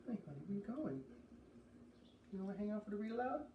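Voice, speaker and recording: A man's voice: high-pitched, sing-song, quick pace, highs cut off. A man hosting a read-aloud, narrating a children's book. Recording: echoey room, distant mic, faint noise.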